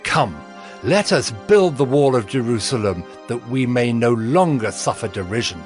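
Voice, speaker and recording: A man's voice: medium pitch, expressive, normal speed, natural voice. A devout royal servant from scripture , performed by a male narrator. He speaks with fervent reverence and declaims earnestly in a mid-range voice. Music runs underneath the dramatized reading. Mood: rallying. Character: devout, earnest cupbearer pleading and rallying. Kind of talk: dramatized audio Bible acting. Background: background music.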